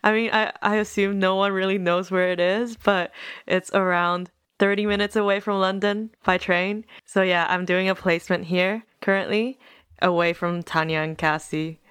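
A woman's voice: medium pitch, fairly even intonation, moderate pace, a bit bright, clear, resonant tone, dry recording. A young woman from Taiwan, a university art student, chatting casually as a podcast guest and laughing often. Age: young adult. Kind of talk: casual chat.

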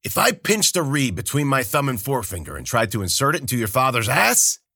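A man voicing a boisterous, hairy male healer who drinks and laughs loudly and teases everyone. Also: wildly swooping pitch, a little fast, husky, no echo, clean studio sound, full character voice. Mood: jovial, roaring. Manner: booming laughter, loud and teasing. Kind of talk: audiobook character voice.

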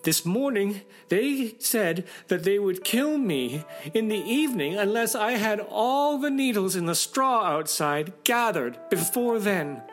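A man's voice: high voice, lively intonation, unhurried, soft background music, pitched above the performer's own voice. A man voicing a mistreated, tearful young girl from a fairy tale, speaking plaintively. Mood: tearful, pleading.

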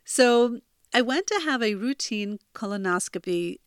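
A woman's voice: a little high, animated, a little slow, smooth, dry recording, studio quality. An American woman in her forties from New Jersey, hosting a self-help podcast in an earnest, confiding, chatty way from a bedroom. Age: 40s. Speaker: podcast host. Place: childhood bedroom.

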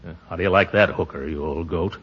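An actor playing a pompous, easily flustered middle-aged American uncle in a 1940s radio comedy. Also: male voice, low-pitched, quick pace, breathy edge. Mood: smitten. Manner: pompous, flustered, given to chuckling. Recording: dull 1940s radio recording.

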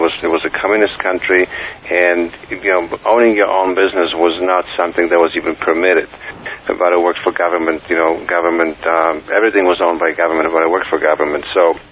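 A middle-aged man from Poland with a Polish accent, speaking as an interview guest in a casual, hesitant way with many fillers. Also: sits low. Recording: tinny phone line with faint hiss.

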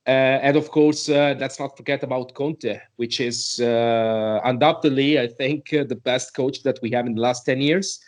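A man's voice: mid-range voice, fairly even intonation, normal speed, few pauses. A man giving his opinion on a podcast, speaking hesitantly in non-native English and searching for words. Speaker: podcast panelist.

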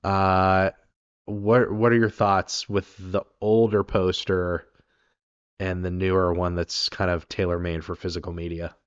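A man co-hosting a casual film talk, his voice raspy from being sick, rambling with many ums and restarts. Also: low-pitched, level tone, unhurried, no room sound, muffled recording. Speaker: podcast co-host.

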